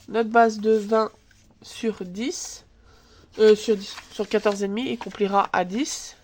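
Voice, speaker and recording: A woman's voice: medium pitch, a little mellow, a little hiss or hum. A woman with a stuffy nose from a cold, hosting a casual crafts tutorial from her home table and thinking aloud as she works. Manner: chatty, thinks aloud while working, filler words. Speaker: crafts channel host. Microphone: camera filming from above.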